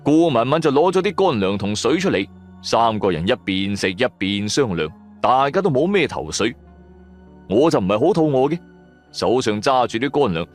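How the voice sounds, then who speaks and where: A male storyteller delivers first-person horror drama. His mid-pitched voice rises and falls dramatically in a colloquial, suspenseful style, over faint music. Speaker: audio drama narrator. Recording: music under the voice. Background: background music.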